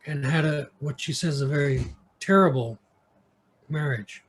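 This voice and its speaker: A man from New York with an American accent, an interview guest telling stories casually and haltingly, sometimes switching between English and Mandarin. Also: on the high side, rough recording. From New York, United States. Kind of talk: casual conversational storytelling. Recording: clear background noise.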